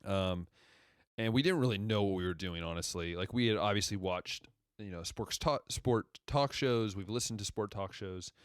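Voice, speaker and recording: A young man from Ohio in his twenties with a deep radio-style voice, hosting a casual solo podcast and rambling conversationally as he backtracks through his story. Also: moderate pace, clean tone, no room sound, studio quality. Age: 20s. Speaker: podcast host.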